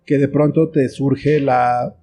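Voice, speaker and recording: Mellow, dark-toned man explaining. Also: mid-range voice, steady tone, takes it slowly, a little hiss or hum. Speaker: guest, children's book author and screenwriter. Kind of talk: conversational interview, explaining with examples.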